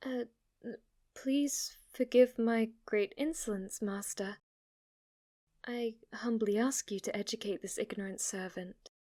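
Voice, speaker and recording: Girl doing a submissive demon maid for asmr, kinda high voice, really slow, formal and totally obsessed with master. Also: warm tone. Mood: deferential, composed. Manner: formal, deferential, breathless adoration, sometimes whispering. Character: devoted, submissive, obsessively worshipful female demon maid servant. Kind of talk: ASMR roleplay.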